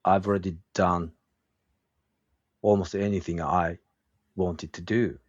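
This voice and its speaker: A middle-aged man from Japan, speaking English with a Japanese accent in a relaxed interview. He talks slowly and haltingly and searches for his words. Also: low-pitched, no room sound. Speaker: guest, woodworking craftsman and teacher. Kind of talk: casual interview conversation. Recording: dull, dry lo-fi sound.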